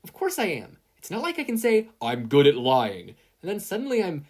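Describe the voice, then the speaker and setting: A performer voicing an excitable, earnest teenage boy superhero student in an audiobook style, eager and fretful. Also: normal speed. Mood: eager, flustered. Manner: eager, fretful, high-strung. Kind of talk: audiobook-style character acting.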